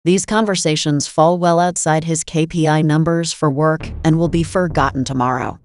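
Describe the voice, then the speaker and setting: Mid-pitched narrator of a scripted story. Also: fairly even intonation, normal speed, clear, resonant tone.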